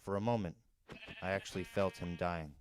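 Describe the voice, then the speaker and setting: A man's voice: deep voice, normal speed, a little hiss or hum. A man reading a novel aloud as a first-person storyteller. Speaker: narrator, podcast storyteller.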